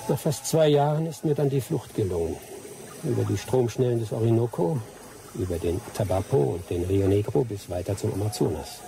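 A man's voice: on the low side, expressive, brisk, vocal fry, dark tone, soft background music. An actor playing a seasoned, teasing male jungle adventurer with dry humour in a German radio drama. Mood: wry storytelling.

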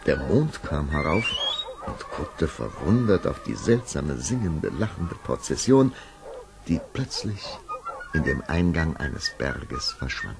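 A man's voice: extremely low pitch, big pitch swings, slow pace, creaky, over music. A man narrating a fairy tale in a dramatic storytelling style for an audio play. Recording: noisy old audio-play recording.

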